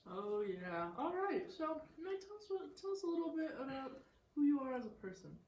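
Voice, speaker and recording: A woman's voice: sits high, big pitch swings, moderate pace, a little mellow, slight background noise. A young woman co-hosting a casual chat, bubbly and rambling. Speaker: co-host. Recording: echoey room.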